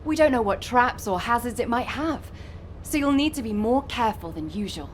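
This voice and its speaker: A woman voicing a cheerful, talkative young female soldier in a video game, teasing and expressive. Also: fairly high pitch, moderate pace, slight background noise, close to an everyday voice. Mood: friendly, encouraging. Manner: chatty, playfully exasperated.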